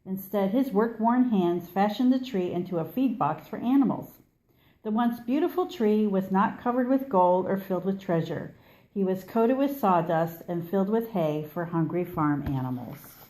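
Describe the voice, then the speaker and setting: Mellow-voiced woman reading a storybook. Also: mid-range voice, expressive, rough recording. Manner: measured, dramatic pauses. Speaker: storybook reader. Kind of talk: expressive children's story read-aloud.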